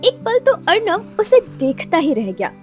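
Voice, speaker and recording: A woman's voice: very high voice, wildly swooping pitch, unhurried, faint music behind. A woman narrating a scripted romance story in a dramatic style.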